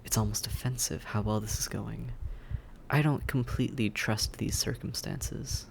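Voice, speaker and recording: A man playing a suave, predatory vampire in an audio roleplay, speaking in deliberate, theatrical phrases with teasing condescension. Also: lowish voice, steady tone, gravelly, slight background noise. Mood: playful, seductive. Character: suave, predatory male vampire toying with his prey. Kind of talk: scripted roleplay audio drama monologue.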